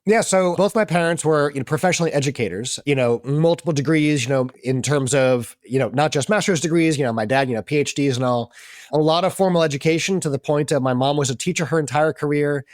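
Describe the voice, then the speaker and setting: A man's voice: on the high side, no room sound, studio quality. A middle-aged American man from the Boston area, telling stories conversationally as a podcast guest in an informal, chatty way. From Boston area, US East Coast. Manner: chatty, informal, talks fast. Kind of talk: conversational interview storytelling.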